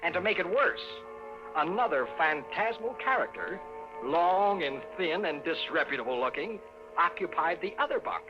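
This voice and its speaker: An actor playing a fussy, exasperated trailer owner with an American accent and proper wording, in 1950s radio drama style. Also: male voice, a little high, animated, moderate pace, natural voice. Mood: wry, worried. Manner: proper wording, indignant, put-upon. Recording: muffled old radio recording with music.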